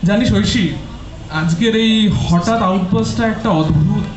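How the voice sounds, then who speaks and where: A man's voice: high-pitched, moderate pace, natural voice. A man playing a caring, teasing young college student who keeps the peace among friends in an audio drama. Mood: earnest, resigned. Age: young adult. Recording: dull echoey recording under music.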